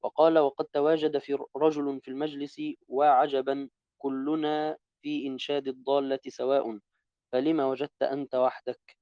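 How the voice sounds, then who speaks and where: A man reading a classical Arabic text aloud to a teacher in a steady, even recitation, correcting his own slips as he goes. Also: mid-range voice, moderate pace, dry recording, lo-fi sound.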